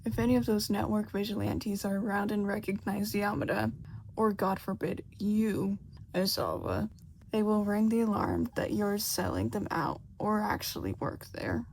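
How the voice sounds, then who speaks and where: Woman reading as a methodical male detective, creaky voice. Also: mid-range voice, animated, normal speed, a little mellow, a little hiss or hum, lightly acted. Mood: businesslike, cautious. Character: serious, methodical male police detective briefing agents.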